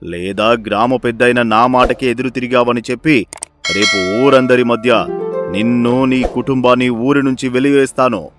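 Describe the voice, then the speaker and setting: An actor voicing a domineering, corrupt village chief in a cartoon moral story, commanding and menacing. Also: male voice, mid-range voice, steady tone, moderate pace, over music, close to an everyday voice.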